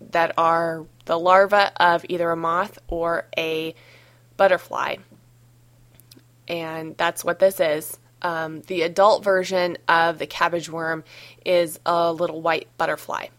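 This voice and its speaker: A woman hosting a gardening podcast who has a cold and sounds slightly hoarse, talking in a relaxed, chatty way with frequent ums. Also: on the low side, measured pace. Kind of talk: solo podcast advice talk.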